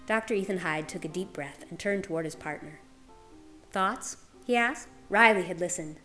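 A woman's voice: fairly low pitch, moderate pace, sharp tone. A woman reading a scripted literary story aloud as a narrator. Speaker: guest reader. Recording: music under the voice. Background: music.

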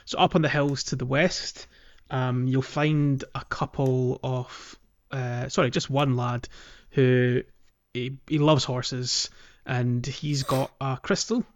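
Male podcast host, casual and rambling game chat, mid-pitched voice, slightly muffled lo-fi recording. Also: flat delivery, takes it slowly. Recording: dull, lo-fi audio.

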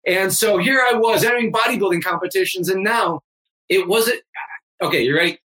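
A man's voice: high-pitched, fairly quick, pushed and bright, low-quality recording. A man in his fifties, a fitness coach, talking emphatically and energetically as a guest on a remote interview. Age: 50s. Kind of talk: energetic motivational interview talk.

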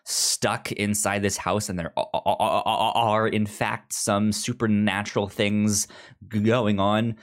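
A podcast host talking casually through a review in a halting way, often stuttering and repeating the first sounds of words. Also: low voice, fairly even intonation.

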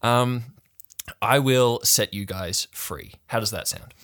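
A man's voice: mid-range voice, takes it slowly, fairly bright tone. A man voicing a blunt, scheming girl at a summer camp, in a dry, matter-of-fact way. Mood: blunt, bargaining.

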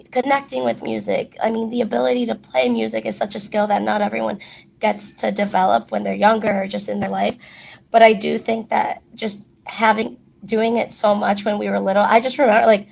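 A woman's voice: on the high side, creaky, a little mellow, lo-fi sound. A young woman whose first language is Spanish, chatting as an interview guest with plenty of fillers. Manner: chatty, many fillers, quick laughs. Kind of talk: casual interview chat.

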